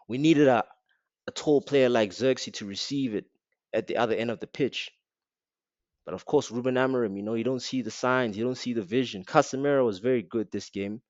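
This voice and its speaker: A man's voice: medium pitch, darkish tone, no room sound, dull audio. A man with an African accent giving a blunt, slangy, mocking rant as a football fan reviewing a match. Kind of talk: casual rant to camera.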